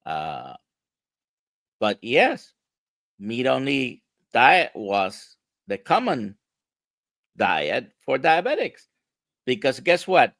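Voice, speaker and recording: Gravelly-voiced Puerto Rican-born doctor explaining. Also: male voice, mid-range voice, huge pitch swings, very slow, long pauses, fairly bright tone, no room sound. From Puerto Rico. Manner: emphatic, explains with rhetorical questions. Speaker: doctor, interview guest. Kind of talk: conversational explanation.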